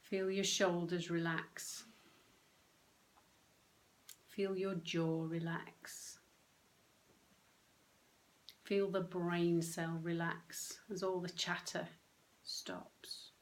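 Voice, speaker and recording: Very slow-speaking woman guiding a meditation. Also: fairly low pitch, flat delivery. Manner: gentle, unhurried, with long pauses between short phrases. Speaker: meditation guide and coach. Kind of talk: guided meditation, soothing instruction.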